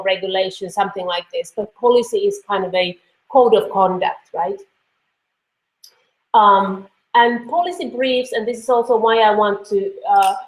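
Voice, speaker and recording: A woman with a Finnish accent giving an online course lecture in a loose, conversational way, with many fillers and restarts. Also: mid-range voice. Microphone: computer mic on a video call. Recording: echoey room.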